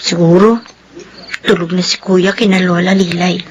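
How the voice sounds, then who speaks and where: A woman's voice: lowish voice, steady tone, moderate pace, natural voice. A woman with a Filipino accent playing a timid, vulnerable young schoolgirl in a radio drama, with a hesitant, pleading manner. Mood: weary. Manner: stammering, pleading.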